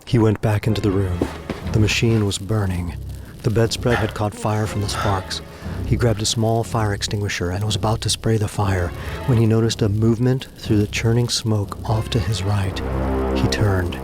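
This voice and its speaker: A man's voice: fairly low pitch, fairly even intonation, normal speed. A man narrating a scripted audio drama in a theatrical, suspenseful storytelling manner. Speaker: narrator and voice actor. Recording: music behind the voice.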